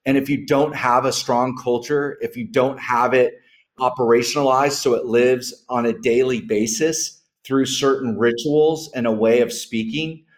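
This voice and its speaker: A man's voice: medium pitch, steady tone, unhurried. A middle-aged man with an American accent, explaining reflectively and telling stories in a conversational interview, with wry asides. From Northern California, United States. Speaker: interview guest, restaurant founder. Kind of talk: conversational interview answers, storytelling.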